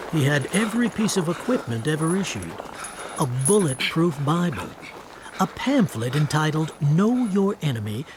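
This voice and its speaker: A man narrating a radio drama from a script in a measured, wry, literary way. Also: medium pitch, noisy background, natural voice.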